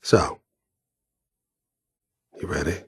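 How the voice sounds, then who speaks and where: A man voicing a cold, world-weary mercenary killer in a video game, gravelly and husky, with a sardonic, deliberate, taunting manner. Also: a bit bright, dry recording, studio quality. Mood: wry, menacing.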